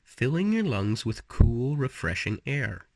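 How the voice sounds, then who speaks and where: Guy doing guided meditation, slow and soothing, husky voice that moves up and down a lot, really dry close sound. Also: mid-range voice, big pitch swings, darkish tone, no echo. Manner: slow, soothing, instructive delivery with pauses. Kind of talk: guided relaxation meditation with storytelling.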